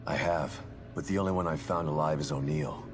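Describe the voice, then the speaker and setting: Weary determined game dad, real low voice, terse and blunt, music going behind him. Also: male voice, fairly quick, creaky. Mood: wary, blunt. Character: weary, determined, blunt father hunting for his daughter. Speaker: father searching for his daughter in a horror game. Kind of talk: video game cutscene acting. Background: background music.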